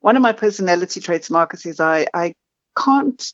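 A woman's voice: on the low side, expressive, moderate pace, no echo, dull audio. A middle-aged woman with a South African accent, a podcast guest telling her story frankly with self-deprecating humor over a video call. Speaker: marketing consultant, podcast guest.

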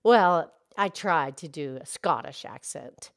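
A woman voicing a gentle female cow in a low, soft, deliberate voice, sometimes reading a tale aloud in character. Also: animated, exaggerated voice. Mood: hushed. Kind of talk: storybook narration in character.

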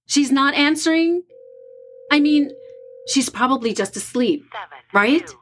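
A woman acting a worried, hesitant young woman in an audio horror drama, with a subdued, husky and breathy delivery. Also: high voice, lively intonation, a little slow, a bit bright.

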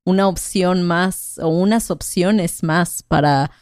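A woman from Mexico talks through her thoughts in an unhurried, hesitant way, checking in with her partner as she goes. Her voice sits a little low, and the recording is dry and close, with no room sound. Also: a little mellow, smooth, no echo. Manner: thoughtful, hesitant, with frequent "¿no?" tags. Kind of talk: casual conversational chat.

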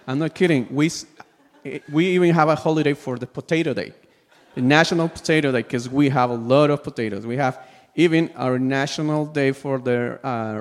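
A man from Peru with a Peruvian Spanish accent preaches a sermon in English as a second language, pausing now and then to search for words. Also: mid-range voice. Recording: long hall reverb.

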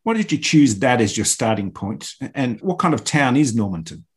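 A man hosts a radio interview in a mid-range voice. He asks prepared, clearly framed questions at a measured pace. He sounds calm and steady. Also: mid-range voice.

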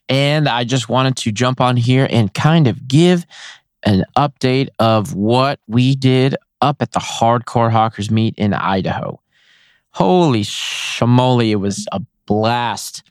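A man hosting his own podcast, chatting casually and excitedly with frequent exclamations, his voice a little hoarse. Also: mid-range voice, dry recording, clean studio sound. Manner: enthusiastic, rambling, laughs often. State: slightly hoarse voice. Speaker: podcast host. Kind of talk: casual solo podcast recap.

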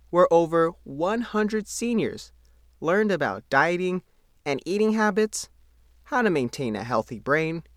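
Male American reporter for scripted news narration, highly animated intonation, slightly high voice. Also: no room sound, studio quality. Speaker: newspaper reporter reading own article.

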